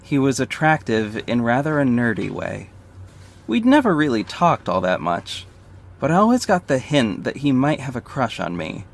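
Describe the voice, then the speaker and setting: A man playing a confident, flirty detective and secret vigilante, narrating an audio drama in the first person, conversational and confiding. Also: medium pitch. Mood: wry, relaxed. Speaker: narrator and lead character. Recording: faint background noise.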